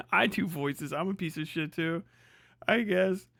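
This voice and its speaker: A male live streamer reacts casually with a high, bright voice. He is profane and exclamatory, and the sound is very dry. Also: vocal fry, no echo.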